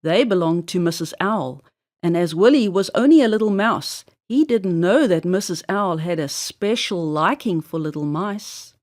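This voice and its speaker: A woman narrating a children's story aloud in an expressive, deliberate storybook style. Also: fairly low pitch.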